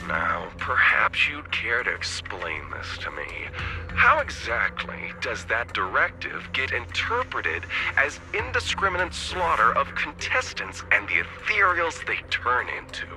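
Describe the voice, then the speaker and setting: An actor playing a condescending villainous handler in a video game, speaking in a measured, sardonic, formal way, often breathy. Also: male voice, medium pitch, rough recording. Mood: cold, sardonic. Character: coldly polite, condescending male villainous handler. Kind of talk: dramatic video game voice acting. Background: music.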